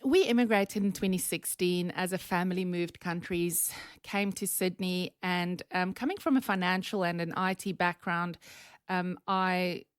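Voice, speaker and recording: A woman's voice: on the low side, fairly even intonation, a little slow, smooth, no room sound. Afrikaans-accented woman telling her story. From South Africa. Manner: chatty, laughs often, many um fillers. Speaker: podcast guest. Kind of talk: conversational interview storytelling.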